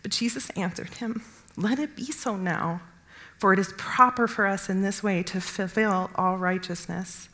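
A woman's voice: medium pitch, steady tone, normal speed, a bit bright. A woman reading a Bible narrative aloud from a script. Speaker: scripture reader.

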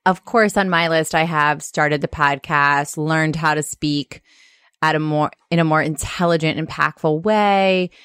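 A woman's voice: low-pitched, fairly even intonation, a little slow, fairly bright tone, smooth, dry recording, clean studio sound. A woman co-hosting a podcast, speaking earnestly and frankly, with some emphasis and occasional swearing. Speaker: podcast co-host. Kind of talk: casual podcast chat.

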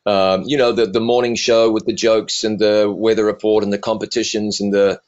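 A middle-aged man with a New Zealand accent softened by years in the US, telling his story in an animated, joking way. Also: fairly low pitch, normal speed, muffled recording. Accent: New Zealand, softened by decades in the US. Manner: animated, self-deprecating, jokey. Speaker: evangelist and TV presenter. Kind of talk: storytelling interview answers.

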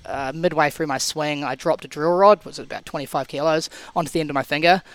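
A man in his thirties, a guest telling stories in a relaxed, rambling way with frequent restarts. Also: a little high, fast, no echo. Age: 30s. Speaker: guest, CrossFit athlete and coach. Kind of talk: casual podcast interview.

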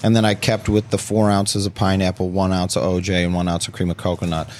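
A man with a fairly low voice and an American accent chats as a guest on a talk show. He explains things informally and easily, like a bartender walking people through it, adding casual asides. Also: flat delivery, fast talker, darkish tone, slight background noise.

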